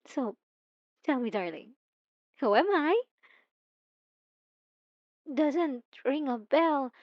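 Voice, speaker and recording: Woman voicing a possessive young stalker for ASMR. Soft, intimate, slow and teasing. Dry, slightly muffled sound. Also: a little high, no room sound. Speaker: narrator speaking to the listener. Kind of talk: ASMR roleplay.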